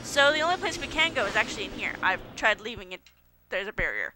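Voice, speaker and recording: A woman's voice: mid-range voice, big pitch swings, fast, background noise. A woman hosting a let's play, giving casual commentary on the video game she is playing. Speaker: gaming let's play host. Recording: faulty home microphone, game music behind.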